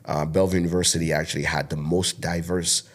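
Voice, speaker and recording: A man's voice: low-pitched, flat delivery, fast. A man in his thirties, raised in Togo with French as his first language, speaking with a French West African accent, preaching emphatically as a podcast guest. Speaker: guest content creator. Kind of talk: podcast interview.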